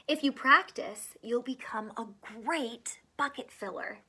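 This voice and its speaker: A woman's voice: a little high, measured pace, bright, forceful tone, breathy edge. A woman teacher reading a picture book aloud to children, adding encouraging asides and questions.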